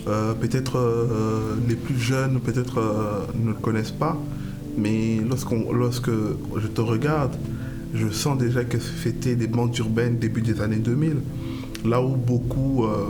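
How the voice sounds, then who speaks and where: Man hosting over loud music. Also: medium pitch, steady tone, measured pace. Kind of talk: interview.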